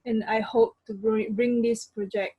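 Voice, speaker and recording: A woman's voice: fairly high pitch, flat delivery, slightly rough, dry recording, narrow, tinny audio. A young woman with a Malaysian accent giving an informal, hesitant student presentation over an online call. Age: young adult. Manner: hesitant, halting.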